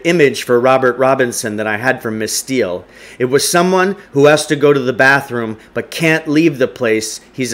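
A middle-aged man reads a story aloud in a home living room. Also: mid-range voice. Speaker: teacher reading aloud. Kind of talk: audiobook-style story reading. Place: indoors, home living room with wood stove.

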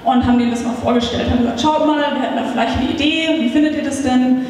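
A high-pitched woman with a light lilt speaks into a handheld microphone on a meetup stage. She talks in a lively, chatty way, full of little filler sounds. The recording is taken from across a large hall, so her voice rings with heavy echo and a long tail. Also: fast talker, slight background noise. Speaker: startup founder giving a talk. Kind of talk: conference talk. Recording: echoey hall, taped from far off.

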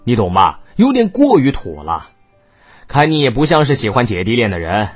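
A man's voice: mid-range voice, wildly swooping pitch, normal speed, slightly rough, highs cut off, soft background music. An actor playing a cocky, mocking young man, a brash older brother in a romance audiobook, with a drawling, needling delivery. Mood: smug teasing. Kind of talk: audiobook character dialogue.

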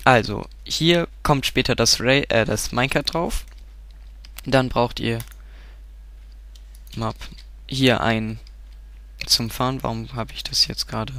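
Stuffy-nosed man, bright dry voice. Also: medium pitch, no echo. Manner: rambling, self-correcting, frequent filler sounds. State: stuffy nose from a cold. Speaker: game tutorial maker. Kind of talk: casual gameplay tutorial.

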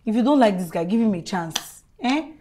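A woman's voice: mid-range voice, lively intonation, moderate pace, mellow, slight background noise, close to an everyday voice. A woman voicing a nosy best friend who teases in pidgin. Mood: insistent. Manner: teasing, pidgin-laced.

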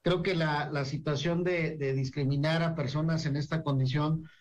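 A man hosting a radio interview, speaking in a measured, reflective way with some repeated words. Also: fairly high pitch, fairly even intonation, highs cut off. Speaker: radio talk show host.

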